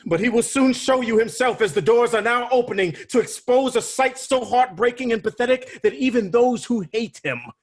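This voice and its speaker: An actor playing a grim palace messenger, giving a grave and theatrical recitation in a staged reading held over a video call. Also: male voice, very high voice, normal speed, hardly any pauses. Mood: somber. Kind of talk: staged reading of a Greek tragedy.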